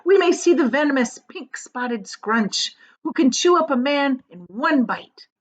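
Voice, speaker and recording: A woman voicing a boisterous, excitable male centipede from a storybook, exclaiming theatrically. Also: high-pitched, measured pace, voice pushed up. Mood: gleeful. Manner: exclaiming, theatrical, reciting nonsense verse. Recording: dull, lo-fi sound.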